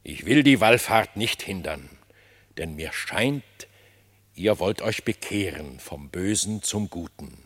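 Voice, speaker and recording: A suspicious, dignified animal monarch for a radio play. The voice is deep and very slow, delivering verse with stern, regal gravity. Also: male voice, animated, fairly bright tone, husky, exaggerated voice. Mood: grave, gracious. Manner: measured, solemn, regal. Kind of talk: German radio drama verse acting.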